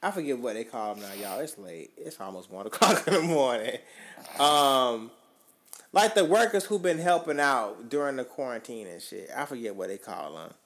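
A man rambles through a late-night podcast chat. His voice sits at a medium pitch, his speech is full of swearing, and he often trails off into a quieter mumble. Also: lively intonation, moderate pace. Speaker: podcast co-host. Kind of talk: casual chat.

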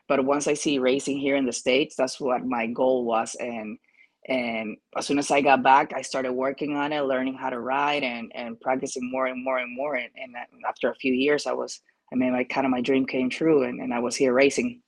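A man from Peru with a Peruvian Spanish accent, giving casual interview answers as a jockey, haltingly searching for phrasing. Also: mid-range voice, level tone.

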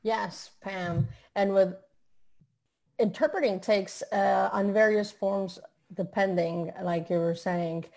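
A woman co-hosts an interview in a mid-pitched voice with a strong gravelly fry. She speaks casually and a bit hesitantly, with fillers and restarts. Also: steady tone, slow pace, a little mellow. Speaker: podcast co-host.

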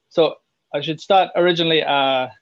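A young Welsh man tells his story in a relaxed, rambling way, dropping in fillers as he goes. His mid-pitched voice has a gravelly fry. The sound is dull and lo-fi, with a dry, close feel. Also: moderate pace, dry recording. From Wales. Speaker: student guest. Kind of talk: casual round-table chat.

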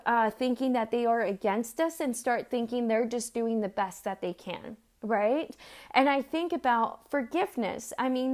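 An earnest woman in her thirties or forties from Mexico preaches in a loose, conversational way. Her voice sits a bit high for a woman, and she stops to restart her sentences and fills gaps with little words. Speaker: church speaker. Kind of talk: sermon with personal stories.

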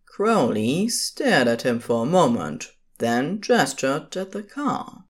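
A woman's voice: low voice, big pitch swings, takes it slowly, husky. A woman reading scripted story narration as the narrator of an audio drama.

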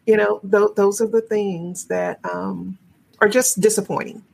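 A woman educator in a podcast panel discussion, phrasing her thoughts deliberately and reflectively. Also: medium pitch, fairly even intonation, creaky, darkish tone, husky.

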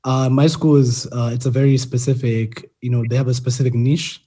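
A young man from Kenya chatting casually as a podcast host, relaxed and full of fillers. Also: medium pitch, fairly quick, breathy edge. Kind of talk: casual conversation.

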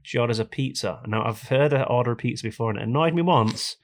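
A man hosting a casual podcast, hoarse and raspy from a cold, ranting jokily about things that annoy him. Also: medium pitch, fast. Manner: jokey, complaining rants. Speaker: podcast host.

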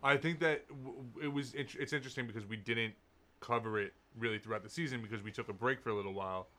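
Bright-voiced joking New co-host. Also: male voice, mid-range voice, fast. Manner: joking, hype. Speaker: podcast co-host, audio engineer. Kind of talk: casual sports chat.

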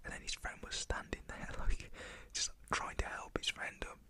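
A man's voice: normal speed, bright, forceful tone. A young British man telling a personal story in a casual, slangy way, whispered in an ASMR style.

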